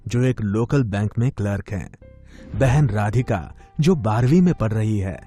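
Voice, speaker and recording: A man's voice: on the low side, dark tone. A man reading scripted narration for a moral story as its narrator.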